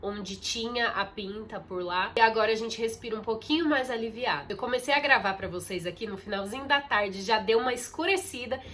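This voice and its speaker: A woman's voice: fairly high pitch, brisk, few pauses, a bit bright, a little hiss or hum. Congested, chatty young woman vlogger. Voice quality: muffled, nasal from congestion. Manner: chatty, confiding, addresses viewers as "gente". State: congested, stuffy nose. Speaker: content creator. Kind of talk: casual vlog talk to camera. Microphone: phone on a ring light.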